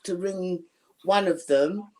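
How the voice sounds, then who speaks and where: A woman with a gruff voice chatting frankly with her family support team, often restarting her sentences. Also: lowish voice, slow pace, a little mellow, poor audio. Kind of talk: casual team chat.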